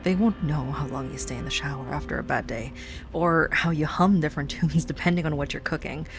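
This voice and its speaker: A woman playing an obsessive, lovesick stalker ex-girlfriend in an intimate audio roleplay monologue, sweet yet menacing, with a breathy delivery that drifts into whispers. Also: deep voice. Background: rain and music.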